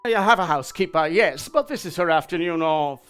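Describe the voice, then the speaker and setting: Brash estate agent, salesy and breezy, with a lively, bright voice, played by a man. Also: on the high side, a little fast, clear, resonant tone, soft background music. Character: brash male estate agent showing off house.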